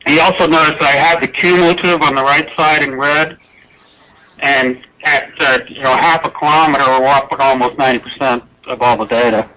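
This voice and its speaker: A man's voice: mid-range voice, fairly even intonation, a little fast, bright, forceful tone, rough recording. A man presenting scientific results at a conference in an informal, explanatory, unscripted way. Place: indoor presentation room with projected slides.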